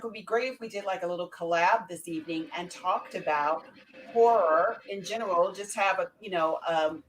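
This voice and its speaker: A woman's voice: fairly low pitch, a little hiss or hum, low-quality recording. A woman hosting a casual live show in an informal, rambling way, often correcting herself as she goes. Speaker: talk show host.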